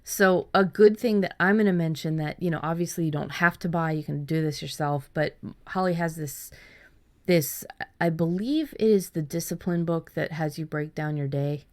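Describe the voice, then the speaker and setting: Chatty woman podcast host, lowish voice. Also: normal speed. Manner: chatty, many restarts and repeated words. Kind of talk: casual podcast chat.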